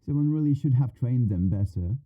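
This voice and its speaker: A man's voice: medium pitch, expressive, fairly quick, phone-quality sound, background noise, lightly acted. A man playing a petulant, mischievous ghost who haunts a library, talking in a sassy, casual, complaining way in an ASMR audio roleplay. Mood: sassy, grumbling.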